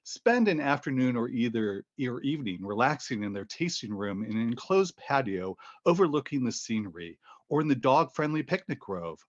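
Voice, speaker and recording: Lively, varied male sponsor announcer. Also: mid-range voice, highs cut off. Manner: promotional, measured. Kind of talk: scripted radio advertisement read.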